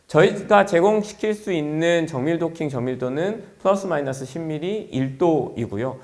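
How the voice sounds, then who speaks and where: A man delivers a product presentation in the manner of a company executive at a forum. His voice sits fairly high for a man and moves with very lively intonation. He explains things clearly, point by point, for a business audience.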